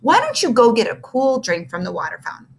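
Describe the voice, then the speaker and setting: A stern, caring male schoolteacher who lectures in measured, formal phrases, as a woman reads him aloud. His lines rise and fall in lively pitch swings, a storybook figure keeping his class in order. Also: a little hiss or hum. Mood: firm.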